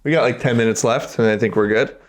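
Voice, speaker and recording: A man's voice: medium pitch, quick pace. A man co-hosting a casual podcast, with a dry, laid-back, teasing way of talking. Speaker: podcast co-host.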